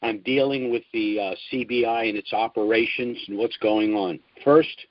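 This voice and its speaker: An older American man hosting a conference call as its spokesman, giving an informal business briefing in a measured way with emphasis on key points. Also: fairly low pitch, fairly even intonation, dry recording. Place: at home, recorded over a conference call. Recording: dull, dry phone conference audio.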